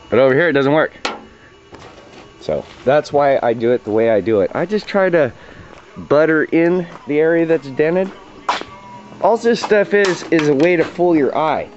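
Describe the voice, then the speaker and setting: A plain-spoken man giving an informal, slangy, hands-on tutorial on repair work. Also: medium pitch. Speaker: DIY auto body repair demonstrator. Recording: dull lo-fi audio, radio music behind. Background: radio music playing in the background.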